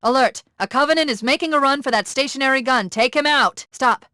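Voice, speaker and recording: An actress playing a brisk, sharp-witted female military artificial intelligence in a video game, speaking in a crisp, purposeful and articulate way. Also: high-pitched, slight background noise. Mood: urgent, commanding. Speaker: AI companion giving tactical guidance. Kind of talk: scripted video game voice acting.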